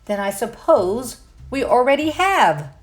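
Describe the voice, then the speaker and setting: A woman voicing a fastidious, scheming male goblin in a children's storybook, with disdainful, drawn-out emphasis. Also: on the high side, big pitch swings, faint music behind, voice pushed up. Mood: dry.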